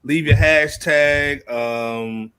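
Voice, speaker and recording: A man's voice: fairly high pitch, very slow, bright, forceful tone, clear, resonant tone. A man hosting a casual hip-hop podcast, talking in an informal, slangy, joking way. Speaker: podcast host.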